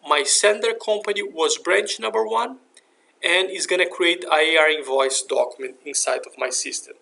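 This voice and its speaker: A man giving a step-by-step software tutorial in an explanatory, instructional way. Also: a little high, normal speed, creaky. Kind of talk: scripted software tutorial narration.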